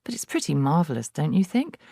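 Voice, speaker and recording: Woman putting on a little stubborn boy, kinda proud, matter-of-fact, higher voice. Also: wildly swooping pitch, a little fast, creaky, dark tone, no echo, clean studio sound, heavily acted. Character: small six-year-old boy, proud and stubborn.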